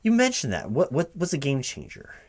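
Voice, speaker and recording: A man's voice: a little high, wildly swooping pitch, fairly quick. A man who stammers and repeats words hesitantly, speaking aloud as a recruiting manager in a one-sided ASMR roleplay. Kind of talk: one-sided interview roleplay, soft-spoken ASMR at normal voice.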